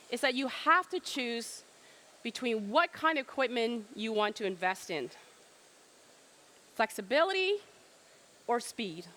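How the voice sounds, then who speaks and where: A woman's voice: a little high, a bit bright. Emphatic middle-aged woman giving a keynote. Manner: emphatic, asks rhetorical questions. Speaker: trade fair presenter. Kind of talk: keynote presentation.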